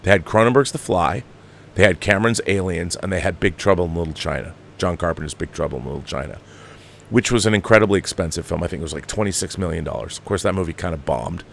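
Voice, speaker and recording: An American man in his fifties giving impassioned, off-the-cuff film commentary as a show host, with an emphatic, halting, digressive delivery. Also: deep voice, creaky, slight background noise. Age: 50s.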